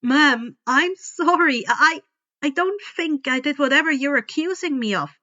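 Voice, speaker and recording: A woman's voice: high-pitched, expressive, unhurried, sharp tone, dull audio, voice pushed up, exaggerated voice. A woman voicing an anxious young boy hero in a story reading, stammering and carefully polite. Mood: apologetic, nervous. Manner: hesitant, stammering, formally polite.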